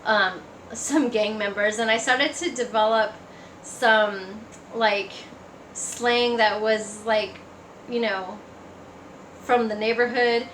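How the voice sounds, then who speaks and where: Woman, bright forceful voice, casual personal storytelling, conversational, echoey room. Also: medium pitch. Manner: conversational, acts out remembered remarks. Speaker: speaker giving a personal testimony. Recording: echoey room, faint background noise.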